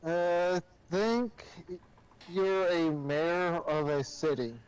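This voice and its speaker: A man's voice: sits high, drawn-out words, clean tone, poor audio. A man chatting casually as a guest on a game livestream. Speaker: guest co-streamer. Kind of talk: casual chat. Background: faint game music.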